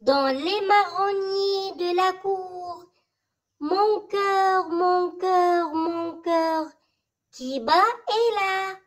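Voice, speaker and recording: An extremely high, child-like voice recites a poem very slowly. The lines come in short, measured phrases with pauses between them. It is delivered as a school recitation meant for learning by heart. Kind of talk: slow poem recitation for memorization.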